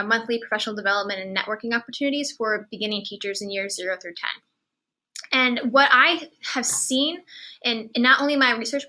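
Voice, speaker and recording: A young woman, founder of an educators' group, explaining in earnest, steady conversation. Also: fairly high pitch.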